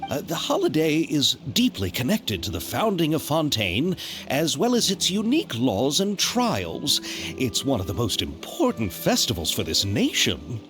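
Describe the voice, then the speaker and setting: A man's voice: mid-range voice, huge pitch swings, moderate pace, a bit bright, faint music behind. A man playing an earnest, bookish film festival organizer in a video game, politely explaining things and slightly flustered. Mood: eager, explaining.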